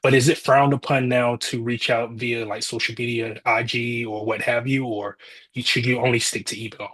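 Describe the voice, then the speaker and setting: American man, mid-pitched husky voice, casual talk, actor asking a question. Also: flat delivery, normal speed, a bit bright, low-quality recording. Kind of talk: casual question in a group discussion.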